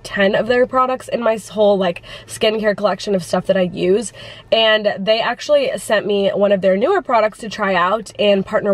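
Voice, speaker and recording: A woman's voice: mid-range voice, dry recording. A woman vlogging casually, congested from the flu and chatting with lots of fillers. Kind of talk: casual vlog chat.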